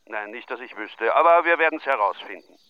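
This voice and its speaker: An actor playing a brisk, matter-of-fact police inspector with an Austrian accent in a radio crime drama. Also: male voice, medium pitch, animated, narrow, tinny audio, natural voice. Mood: businesslike. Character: brisk police inspector briefing on a murder case.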